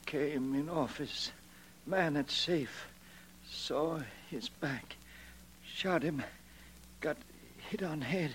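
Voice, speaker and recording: An actor playing an injured lawyer in a 1940s radio drama, groggy and speaking in broken fragments. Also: male voice, on the high side, extremely slow, long pauses. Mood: dazed. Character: dazed, injured male lawyer. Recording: noisy old radio recording with hum.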